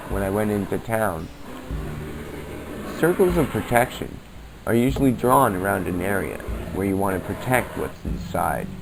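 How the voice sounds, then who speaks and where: A man playing a calm occult practitioner, narrating instructions in a measured, explanatory way on a homemade radio-style podcast. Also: low-quality recording. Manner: measured, explanatory, addresses listener as friend. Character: calm occult practitioner teaching spiritual protection. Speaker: podcast host playing a practitioner of spirit work. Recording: homemade low-budget recording with music underneath. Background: clear background music.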